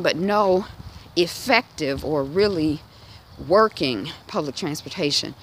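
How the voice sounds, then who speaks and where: A woman in her thirties talks casually into a phone held at arm's length while walking down a residential street, musing aloud with many fillers and restarts. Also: on the low side. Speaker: vlogger. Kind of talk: casual walk-and-talk monologue. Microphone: phone camera at arm's length. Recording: outdoor street noise behind.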